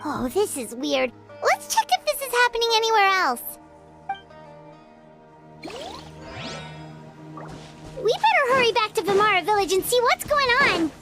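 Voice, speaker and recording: A woman voicing a small, childlike fairy companion in a video game, squeaky, chatty, earnest and easily flustered. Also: fairly quick, over music, exaggerated voice. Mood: puzzled. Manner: exclamatory, expressive.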